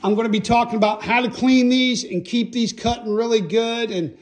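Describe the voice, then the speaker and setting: High-voiced, folksy man giving a tutorial. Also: steady tone, a little fast. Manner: folksy, emphatic, repeatedly checks with "okay?" and "all right?". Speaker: host, chainsaw sharpening instructor. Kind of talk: casual hands-on tutorial to camera. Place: indoor workshop.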